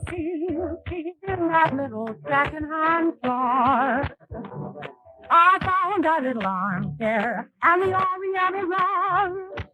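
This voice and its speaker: A woman's voice: extremely high pitch, animated, drawn-out words, poor audio. A woman singing a sentimental popular song in 1930s radio style. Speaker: singer. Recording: 1930s radio broadcast with music. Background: musical accompaniment.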